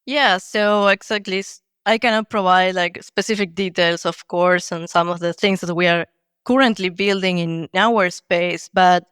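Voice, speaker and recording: Argentine woman, design lead guest, explanatory and clear, dry close sound. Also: fairly low pitch, fairly even intonation, normal speed, fairly bright tone, dry recording. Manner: explanatory, many fillers like "like". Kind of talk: podcast interview answers.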